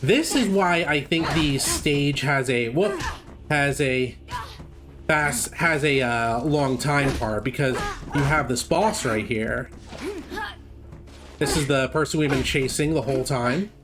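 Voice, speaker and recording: A man giving casual live speedrun commentary, haltingly thinking aloud as he plays. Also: medium pitch. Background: game music.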